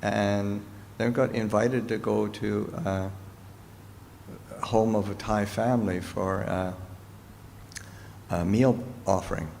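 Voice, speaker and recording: A middle-aged Canadian-raised monk answers questions slowly and thoughtfully, pausing often. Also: male voice, lowish voice, fairly even intonation, creaky, a little mellow. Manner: slow, halting, thoughtful speech with pauses. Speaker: Buddhist monk and teacher. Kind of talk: Dharma talk Q&A answer. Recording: reverberant hall with faint noise.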